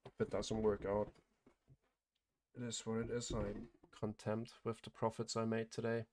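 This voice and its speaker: A man running a live day-trading stream, giving matter-of-fact commentary, hoarse as he starts to lose his voice, and clearing his throat often. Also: lowish voice, monotone, moderate pace, a little mellow, slight background noise. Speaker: day trader hosting a livestream.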